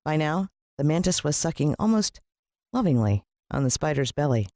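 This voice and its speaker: Animated American woman reading a children's story. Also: deep voice, normal speed, no room sound, studio quality. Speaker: audiobook narrator. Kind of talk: expressive scripted children's story narration.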